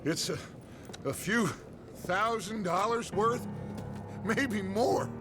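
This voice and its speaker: An actor playing a scheming, self-satisfied older American outlaw leader in a video game, with theatrical, oratorical delivery. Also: male voice, high voice, slow pace, music in the background. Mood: gloating. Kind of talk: dramatic video game acting.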